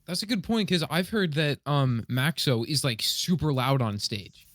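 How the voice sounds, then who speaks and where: A man on a podcast panel, giving opinions in an informal, measured, softened way. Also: a little high, dry recording, studio quality. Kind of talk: casual chat.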